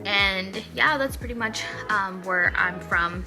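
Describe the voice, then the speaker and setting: A woman's voice: mid-range voice, takes it slowly. Woman, twenties, from Mexico, chatty vlogger, upbeat, music underneath. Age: 20s. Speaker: hairstylist vlogger. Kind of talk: casual Q&A vlog.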